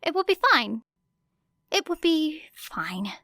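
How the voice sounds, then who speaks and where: A woman reading fan fiction as an audiobook narrator, with an expressive, wry and dramatic delivery. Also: sits high, slow pace, dry recording, clean studio sound.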